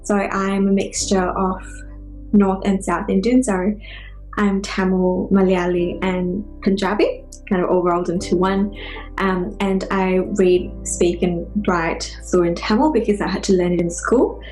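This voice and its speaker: Singaporean lady, lawyer, flat steady voice, some echo, soft music. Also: mid-range voice. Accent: Singaporean English. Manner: even, unhurried, with fillers. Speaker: immigration lawyer. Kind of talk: personal storytelling.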